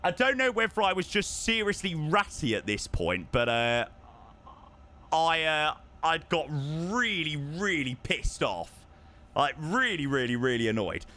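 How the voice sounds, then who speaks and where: A young man chatting casually to his live-stream viewers, telling stories with lively, animated delivery and answering chat. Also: on the high side, measured pace. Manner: chatty, animated storytelling, reads chat aloud. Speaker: flight sim streamer.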